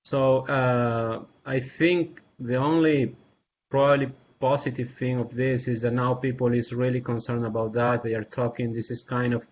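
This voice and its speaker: A man from Peru, speaking as an assistant professor on a video call panel, earnest and halting, with many fillers and restarts. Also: medium pitch, flat delivery, a little hiss or hum. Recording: dull lo-fi video call audio.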